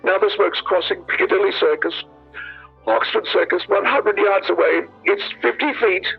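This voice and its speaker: A man's voice: sits high, normal speed, vocal fry, breathy edge, full character voice. Man acting a shaken radio reporter, tinny sound. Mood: grim, alarmed. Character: shaken radio reporter watching the invasion of London. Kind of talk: 1930s radio drama acting, eyewitness news bulletin. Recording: tinny band-limited radio sound, music.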